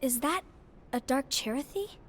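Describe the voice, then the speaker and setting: A woman playing a sweet, excitable young apprentice in a game cutscene, with a girlish, gushing delivery. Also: high-pitched, measured pace, a little hiss or hum. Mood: shocked. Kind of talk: animated game cutscene acting.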